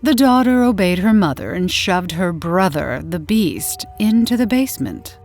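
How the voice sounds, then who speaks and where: A woman narrating in a dramatic, theatrical old-time radio horror style with deliberate delivery. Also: lowish voice, animated, a little mellow. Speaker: story narrator and channel host. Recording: roomy echo, faint music behind.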